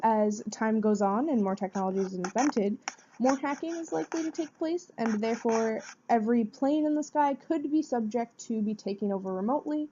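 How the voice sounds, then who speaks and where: A woman's voice: fairly high pitch, a little fast, warm tone, a little hiss or hum. A woman gives a prepared informative presentation in an explanatory way, posing questions and answering them. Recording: dull, muffled lo-fi sound.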